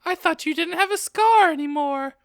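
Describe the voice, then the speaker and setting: Man putting on a shrill, melodramatic teenage girl. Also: moderate pace, a bit bright, no echo, clean studio sound. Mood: panicked. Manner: shrill, indignant exclamations. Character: melodramatic, foul-mouthed teenage girl narrator. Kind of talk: comic dramatic reading of fan fiction.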